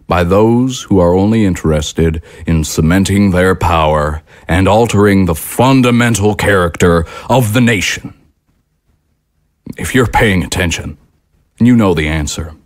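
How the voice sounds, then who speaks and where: A man's voice: on the low side, dry recording, studio quality. A man playing a fervent, aggrieved patriotic political commentator in an audio drama, with an impassioned, oratorical delivery.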